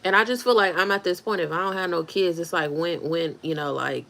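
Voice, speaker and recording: Casting note: thirties woman, lowish voice, loose chatty livestream host answering comments. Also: brisk, gravelly, a bit bright. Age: 30s. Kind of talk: casual livestream chat.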